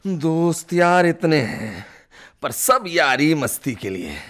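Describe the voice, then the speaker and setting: A man's voice: a little high, sing-song, slow, a bit bright, full character voice. An actor playing an irritable, ailing man with a fever and cough, groaning and complaining in a radio play. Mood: suffering, self-pitying. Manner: exasperated, complaining, groaning, melodramatic. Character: irritable bedridden sick man scolding his servant. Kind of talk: Hindi radio play acting.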